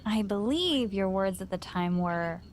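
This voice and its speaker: An actress playing a frank gym owner in a video game, mentoring with dry, teasing straight talk. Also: female voice, medium pitch, lively intonation, a little slow, a little hiss or hum, natural voice. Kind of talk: video game cutscene dialogue.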